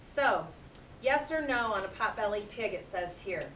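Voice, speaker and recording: A woman reads aloud to a classroom of young children, pausing to explain things in simple, conversational terms. Her voice sits fairly high and sounds distant in an echoing room, with a low rumble of noise behind her. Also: lively intonation, fairly bright tone. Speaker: teacher reading aloud to children. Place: indoor room, speaking to a class.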